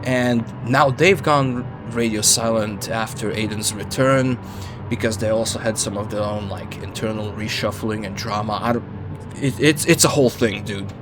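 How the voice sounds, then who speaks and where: A man's voice: lowish voice, normal speed. A man narrating a casual, opinionated video essay in an informal, wry way with joking asides and some swearing. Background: background music under the voice-over.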